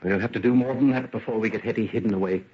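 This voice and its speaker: A man playing an earnest, anxious gentleman in an old radio drama. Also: on the low side, rapid-fire, a little hiss or hum, close to an everyday voice. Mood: urgent, earnest. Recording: dull vintage radio recording.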